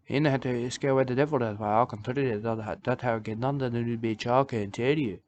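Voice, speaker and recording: A man playing an odd male preacher of a nudist church, who talks in halting broken English and keeps repeating himself. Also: mid-range voice, fairly even intonation, a little mellow, a little hiss or hum, lightly acted. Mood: rambling. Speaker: self-styled church leader. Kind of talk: rambling comic monologue. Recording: dull lo-fi recording.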